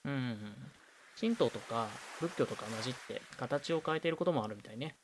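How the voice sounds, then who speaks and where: A man's voice: medium pitch, expressive, a little fast, slight background noise, close to an everyday voice. A man playing a knowledgeable, dryly teasing university student, explaining things matter-of-factly in an amateur radio drama.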